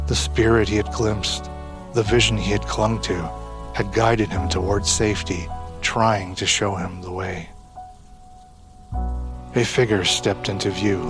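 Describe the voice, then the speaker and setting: A man narrating a scripted audio drama in a solemn, deliberate storytelling manner. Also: fairly low pitch, flat delivery. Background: music.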